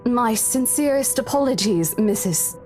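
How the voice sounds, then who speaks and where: A woman's voice: on the high side, animated, measured pace, a little mellow, soft background music. An actress playing a caring but firm young woman estate agent in a visual novel game, composed and articulate. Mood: polite, businesslike.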